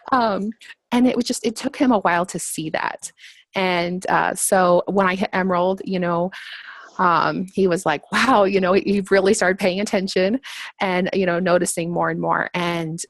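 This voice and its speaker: Woman, 30, lower register, relaxed giggly testimony, dry. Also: dry recording. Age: 30s. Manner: chatty, giggly, many fillers. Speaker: panelist sharing her business story. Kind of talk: casual personal testimony.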